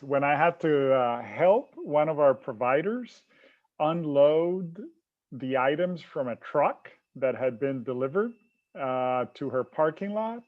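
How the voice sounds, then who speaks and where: Man from Puerto Rico, Spanish native, friendly and easygoing, tinny phone-like sound. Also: mid-range voice. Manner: friendly, easygoing, with small hesitations. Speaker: finance director. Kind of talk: casual panel chat.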